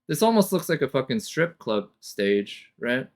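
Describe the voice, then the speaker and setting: A woman's voice: extremely low pitch, very animated, a little slow, a little mellow, a little hiss or hum. A woman streamer giving casual reaction commentary, speculating and thinking aloud as the thoughts come. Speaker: streamer reacting to a game trailer. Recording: music behind the voice. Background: game trailer music.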